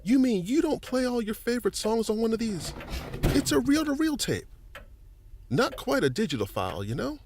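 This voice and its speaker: A man's voice: very high voice, big pitch swings, normal speed, background noise, full character voice. A man playing a friendly, folksy middle-aged museum volunteer in a family audio drama, chatty and fond of explaining things. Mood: intrigued, chatty. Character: friendly, folksy older male museum volunteer and newspaper editor.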